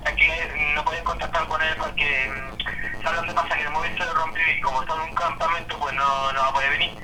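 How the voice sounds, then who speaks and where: A man's voice: on the high side, level tone, fairly quick, a crowd in the background. A man with an accent from Spain in a casual phone conversation, colloquial and repeating words, on a noisy, distant line. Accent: Spanish (Spain). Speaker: prank call victim. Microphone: telephone.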